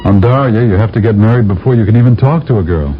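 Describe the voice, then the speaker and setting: A man's voice: on the low side, fast talker, slight background noise, natural voice. An actor playing a complaining male space traveler in a 1970s radio drama, with a wry manner. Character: weary male space traveler, grumbling, flirtatious. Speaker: freeholder traveling to Earth. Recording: dull old radio broadcast with music.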